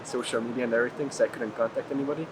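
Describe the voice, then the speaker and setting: A man's voice: medium pitch, steady tone, rapid-fire. Man chatting over noticeable background noise. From Hungary. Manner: frequent "like" filler, rambling. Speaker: traveler being interviewed. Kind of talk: casual chat. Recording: clear ambient street noise.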